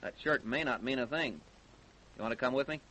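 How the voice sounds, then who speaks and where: An actor in an old-time radio crime drama playing a blunt, pushy homicide detective with an American accent. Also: male voice, mid-range voice, a little fast, sharp tone, natural voice, poor audio. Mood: businesslike, probing. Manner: blunt, interrogating.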